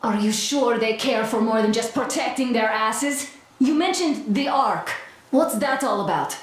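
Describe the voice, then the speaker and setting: A woman's voice: a little high, lively intonation, a little slow, very echoey. A woman acting a defiant, idealistic young soldier in a video game, direct and challenging. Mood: skeptical.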